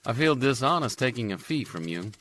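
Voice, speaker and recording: A man's voice: medium pitch, lively intonation, brisk, a bit bright, a little hiss or hum, lightly acted. A man doing a blunt, condescending examiner. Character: blunt male medical examiner, condescending and matter-of-fact.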